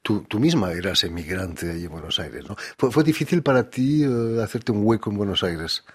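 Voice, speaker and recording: A man hosting a radio interview from a studio, introducing his guest in a measured, formal way. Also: lowish voice, expressive, slightly rough. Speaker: radio host.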